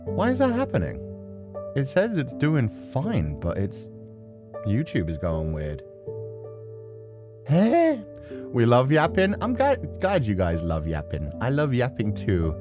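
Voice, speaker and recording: A man's voice: medium pitch, wildly swooping pitch, slow, pauses often, music in the background. A man chatting casually and expressively as a livestream host before playing a game. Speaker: livestream host, gaming streamer. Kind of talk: casual rambling stream chat before a game.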